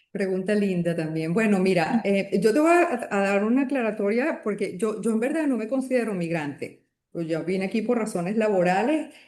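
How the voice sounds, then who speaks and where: A woman's voice: mid-range voice, normal speed, darkish tone, roomy sound. A woman from Venezuela with a Venezuelan accent, a guest on a podcast interview, speaking reflectively with frequent restarts and hesitations.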